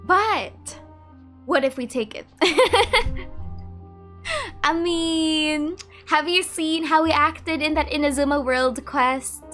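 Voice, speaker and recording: Casting: female gaming streamer, high bright voice, chatty and informal reactions, faint game music behind. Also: slow pace. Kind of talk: casual gameplay commentary.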